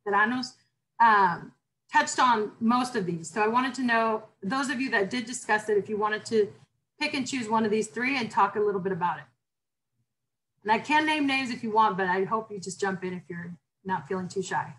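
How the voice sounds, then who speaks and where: A woman instructor with a low voice leading an online class discussion, emphatic and animated when talking theory. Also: normal speed, low-quality recording.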